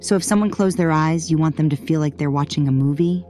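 A woman's voice: deep voice, fast talker, warm tone, faint music behind. A woman interviewer putting prepared questions to a guest.

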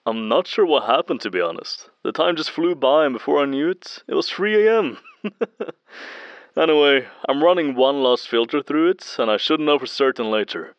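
A man's voice: medium pitch, expressive, normal speed, no echo, close to an everyday voice. A man acting as a friendly, eager audio technician and fan in an audio drama, chatty and a little hesitant, heard over a phone. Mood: excited, rambling. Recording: muffled band-limited phone call, dry.

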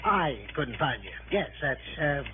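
A fussy, penny-pinching insurance man in a vintage radio play. His high voice stammers and doubles back on itself, shifty and smug. The sound is thin and narrow, with clear noise. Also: big pitch swings, unhurried. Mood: flustered, stalling. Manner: flustered, stammering, repeats himself. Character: fussy, tight-fisted male insurance company official, shifty and smug. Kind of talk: 1960s radio drama acting. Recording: tinny, noisy old radio broadcast.

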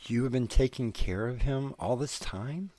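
A man's voice: mid-range voice, moderate pace, mellow, close to an everyday voice. An actor playing a curious young man in love, asking probing, impatient questions. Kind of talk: audio drama acting.

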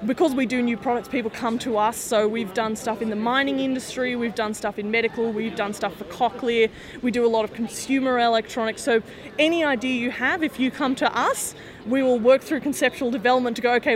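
A woman engineer explaining her company's work clearly in an interview. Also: fairly high pitch, steady tone, brisk. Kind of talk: casual interview. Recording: crowd noise behind. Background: crowd chatter.

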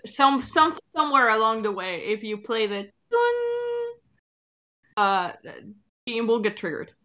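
Woman, high-pitched, very lively intonation, giggly podcast co-host, casual chat, swears freely. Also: measured pace.

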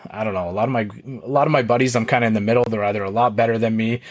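A young adult man with a Canadian accent, hosting a casual interview and asking quick-fire questions in a friendly, rambling way. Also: on the low side, fairly even intonation. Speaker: podcast host.

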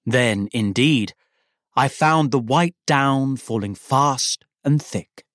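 A man reading a Victorian story aloud as an actor, with wry, self-deprecating humour and deliberate, dramatic phrasing. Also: mid-range voice, fairly bright tone, no echo, clean studio sound. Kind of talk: expressive literary narration of a Victorian story.

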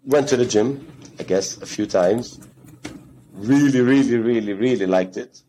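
A man's voice: mid-range voice, measured pace, warm tone, slight background noise. A man in his thirties, from Ghana, telling his story as an interview guest in a rambling way, with Arabic phrases mixed into his English. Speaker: guest, gym co-founder.

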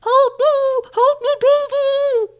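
Man putting on a tiny squeaky panicking hero. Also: steady tone, takes it slowly, a bit bright, heavily acted. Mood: panicked pleading. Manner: shrill, pleading cries.

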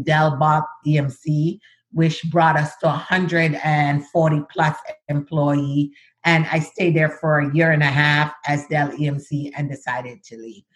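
A woman in her fifties with a Jamaican accent, telling her career story in a measured interview conversation. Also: deep voice. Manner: measured, sometimes overpronounces words. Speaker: guest, diversity executive.

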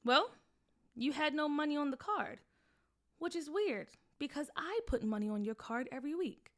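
Storybook mom: woman voicing a firm, scolding, protective parent, slightly high voice. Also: animated, moderate pace. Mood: probing. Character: stern, protective mother.

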